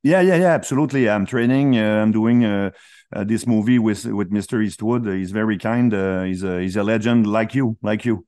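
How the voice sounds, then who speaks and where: A man's voice: fairly low pitch, moderate pace, dark tone, dry recording, clean studio sound, close to an everyday voice. A man with a French-Canadian accent playing an earnest, fawning champion fighter, stammering and deferential on a prank call. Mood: eager, deferential. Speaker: radio prankster. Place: small radio studio.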